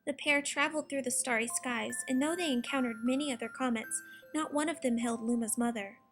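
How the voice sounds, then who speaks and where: High-pitched woman narrating a children's storybook. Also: a little fast. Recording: music behind the narration. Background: music.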